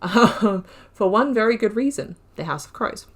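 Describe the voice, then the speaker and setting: A woman's voice: medium pitch, lively intonation, moderate pace. A woman hosting a game playthrough, reading lore entries aloud and breaking off into casual, rambling asides. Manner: chatty, trails off and restarts mid-sentence. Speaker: gaming video host.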